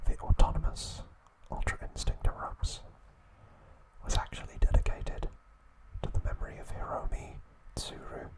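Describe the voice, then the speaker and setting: A man narrating trivia as an ASMR host in a breathy, hushed whisper, in short measured phrases. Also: poor audio.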